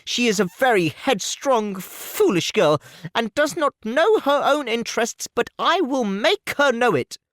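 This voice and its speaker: A man voicing a fretful, shrill middle-aged mother who talks in a high, fluttering, insistent way. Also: big pitch swings, a little slow, fairly bright tone, dry recording, clean studio sound, exaggerated voice.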